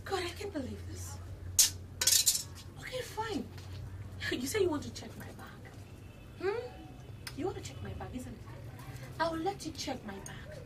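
Spoiled young rich woman, very high voice that swings widely, indignant and theatrical. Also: sing-song, normal speed, noisy background. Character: haughty rich young woman, senator's daughter. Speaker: wealthy customer in a boutique.

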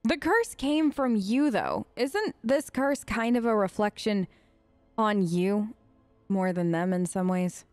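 A woman's voice: medium pitch, slow pace, darkish tone, no room sound, soft background music. A woman hosting a role-play let's play, talking casually and informally as she improvises in character.

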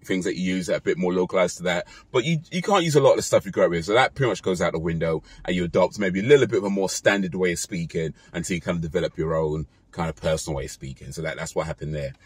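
Low husky British man, relaxed talk. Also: fast, hardly any pauses, dry recording. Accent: British English. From Britain. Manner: relaxed, rambling, with fillers and self-corrections. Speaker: vlogger. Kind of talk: casual talk to camera. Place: parked car, indoors. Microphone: phone camera held close.

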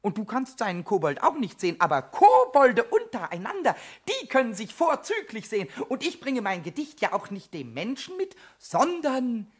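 Raspy, squawky little kobold. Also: male voice, very high-pitched, huge pitch swings, normal speed, hardly any pauses, exaggerated voice. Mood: proud, eager. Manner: exclaiming, boastful, stretches words. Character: small cheeky boastful male kobold, excitable and rhyming. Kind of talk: German children's radio play acting.

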